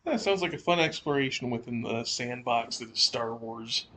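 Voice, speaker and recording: A man's voice: mid-range voice, moderate pace, pushed and bright, slight background noise. A man co-hosting a podcast, discussing and asking questions in a casual conversational style.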